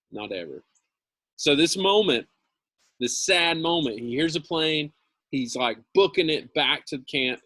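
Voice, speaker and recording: A man gives an expressive read-aloud of a story at an unhurried pace. He leans into phrases with dramatic emphasis and drops into informal commentary. The sound is dry, with very little room. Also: mid-range voice, no room sound. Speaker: teacher reading a novel aloud.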